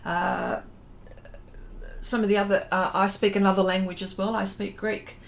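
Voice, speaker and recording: A middle-aged woman with an Australian accent hosts an informal livestream. Her voice sits at an average pitch for a woman, and she talks in a chatty, meandering way, often leaving thoughts half finished. There is faint noise in the recording behind her. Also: steady tone, normal speed, vocal fry. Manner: chatty, rambling, trailing off mid-thought. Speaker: livestream host, artist. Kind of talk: casual livestream chat, reading out viewer comments.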